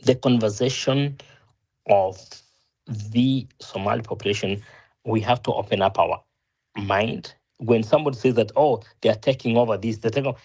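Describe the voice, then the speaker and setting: A man's voice: medium pitch, darkish tone, breathy edge, poor audio. A Kenyan man arguing his point in a discussion, earnest, often restarting and correcting himself, rising as he presses a point. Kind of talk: opinionated discussion.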